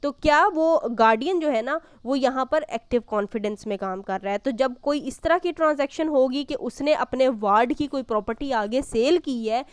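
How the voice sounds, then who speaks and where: High, clear-voiced woman lecturer. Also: moderate pace, hardly any pauses, dry recording. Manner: didactic, repeats and rephrases points, poses questions and answers them; mixes in English legal terms. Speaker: law lecturer. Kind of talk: explanatory law lesson, reading and explaining clauses.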